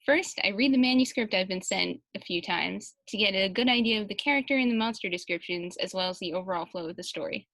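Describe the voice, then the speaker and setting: Female panelist, bright voice, mid pitch, conversational read-aloud delivery, very dry recording. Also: fast, few pauses, no room sound, low-quality recording. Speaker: translator reading out an interviewee's answers. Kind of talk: casual panel chat and reading aloud.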